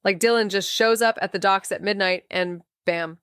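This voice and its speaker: An actress playing a defiant young amateur sleuth in a noir audio drama, blunt and slangy, with anxious sardonic edge. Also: female voice, medium pitch, fairly even intonation, moderate pace, a bit bright, clear, resonant tone, dry recording, clean studio sound, close to an everyday voice. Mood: exasperated, defiant. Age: young adult. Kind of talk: noir audio drama dialogue and inner narration.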